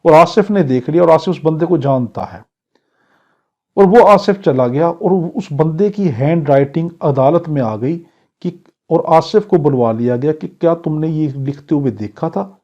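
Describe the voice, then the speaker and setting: A man's voice: a little high, lively intonation, warm tone. A Pakistani-accented man giving an exam-prep law lecture, explaining didactically with examples and questions. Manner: reads English clauses slowly, then explains them in Urdu; didactic, poses questions and answers them. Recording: dull, lo-fi lecture recording.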